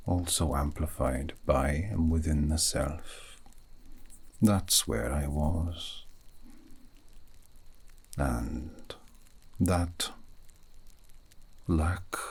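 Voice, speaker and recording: A man voicing a wistful, scholarly elf mage in a soft, breathy, half-whispered ASMR roleplay, speaking slowly and reflectively with long pauses. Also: a bass voice, full character voice. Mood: wistful, quietly proud. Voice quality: breathy, gravelly, often slipping into whisper.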